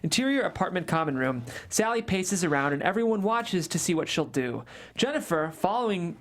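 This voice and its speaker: A man's voice: high voice, big pitch swings, brisk, fairly bright tone. A man reading stage directions in a plain, matter-of-fact way at a table read.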